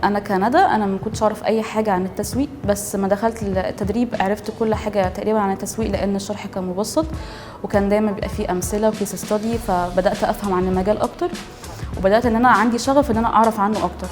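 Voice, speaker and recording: A 23-year-old woman with an Egyptian accent, chatting in a testimonial with small filler pauses. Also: medium pitch, steady tone. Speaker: intern. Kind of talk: testimonial interview. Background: music.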